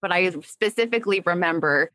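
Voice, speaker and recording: A woman's voice: on the low side, a little fast, bright, forceful tone, no echo. A woman hosting a podcast in a bubbly, casual way, full of "like" and chatty asides. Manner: bubbly, filler-heavy, full of "like". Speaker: podcast host, esthetician.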